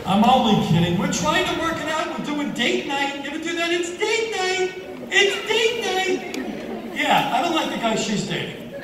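A man in his sixties doing a stand-up comedy routine on a theater stage, with a deadpan, self-mocking delivery. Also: very high voice, moderate pace, background noise. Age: 60s. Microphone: handheld mic, filmed from far in the audience. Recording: echoey hall with crowd, from far off. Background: audience laughter and applause.

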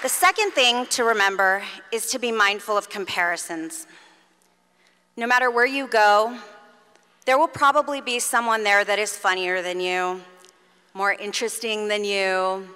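A woman's voice: mid-range voice, sharp tone, smooth, some chatter behind. A middle-aged woman giving an earnest, encouraging commencement speech at a lectern microphone. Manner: earnest, encouraging, measured address to graduates. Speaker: faculty commencement speaker. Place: large concert hall stage at a lectern. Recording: long hall reverb.

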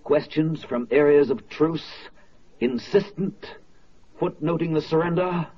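An actor playing a solemn, rhetorical narrator in a 1940s radio drama, with deliberate, oratorical phrasing. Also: male voice, fairly high pitch, lightly acted. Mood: stern, rhetorical. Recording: dull vintage radio recording, faint music.

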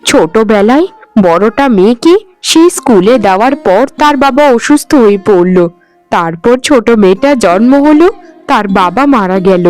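A woman's voice: mid-range voice, expressive, moderate pace, darkish tone, soft background music, close to an everyday voice. A woman voicing a careworn widowed housemaid and mother in a cartoon, speaking in a plaintive, earnest way. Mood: worried, resolute. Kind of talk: Bengali cartoon voice acting.

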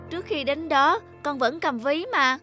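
A woman voicing an earnest, sensitive young boy in an animated story, polite and pleading in manner. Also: high-pitched, moderate pace, close to an everyday voice. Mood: anxious. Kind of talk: Vietnamese animated moral story dubbing. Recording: music behind the voice.

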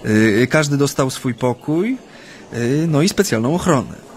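An actor playing a chatty, nervous Japanese man steeped in Polish ways, speaking haltingly in naturalistic radio drama dialogue. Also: medium pitch, expressive, lightly acted. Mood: animated reminiscing. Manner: hesitant, halting, with many hems and repetitions.

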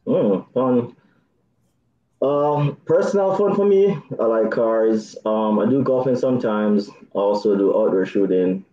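A man in his thirties with a Jamaican accent, chatting on a video call as a dating show contestant, soft-spoken with nervous laughs. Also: on the low side, a little slow, echoey room, dull audio. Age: 30s. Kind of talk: casual video call chat.

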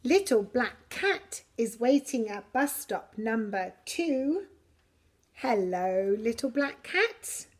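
A middle-aged woman reading a picture book to young children in an expressive, deliberate way. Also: a little high, husky. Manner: slow, deliberate, expressive delivery for little children. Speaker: preschool story reader. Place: preschool classroom, indoors. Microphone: camera a short distance away.

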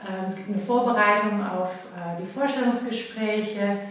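A woman's voice: mid-range voice, fairly even intonation, moderate pace, fairly bright tone. Lady in her 40s explaining courses, lots of ums, really echoey office. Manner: matter-of-fact, with frequent fillers. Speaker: social worker. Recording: very echoey office, mic at a distance.

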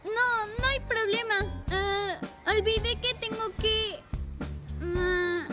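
An actor voicing a shy, gentle young boy tricycle in a Spanish cartoon dub, speaking hesitantly and softly. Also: very high-pitched, steady tone, slow pace, loud music behind the voice.

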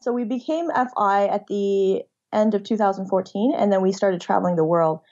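A woman's voice: mid-range voice, normal speed, dark tone, muffled recording. A woman in her thirties, raised in China, talking openly about her early life as an interview guest. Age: 30s. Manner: open, conversational storytelling. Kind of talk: casual interview talk.

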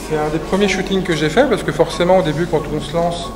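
A man's voice: fairly high pitch, steady tone, fast, over music. A young man, a photographer, chatting about his photos and then thanking the guests at his exhibition opening, with many fillers. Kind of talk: casual explanation and speech to guests. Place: stone-walled gallery cellar with audience. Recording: echoey room, from far off, noisy.

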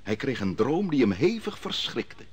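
An actor playing a young prophet in an old radio play, speaking in a husky, solemn, declaiming manner. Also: male voice, medium pitch, big pitch swings, moderate pace. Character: young prophet recounting a king's dream, solemn. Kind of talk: 1950s radio drama acting.

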